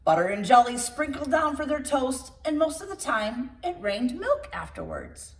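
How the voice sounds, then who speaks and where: A woman reading a picture book aloud to children in an expressive storytime style, with playful asides to her young listeners. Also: high voice, normal speed. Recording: roomy echo, distant microphone.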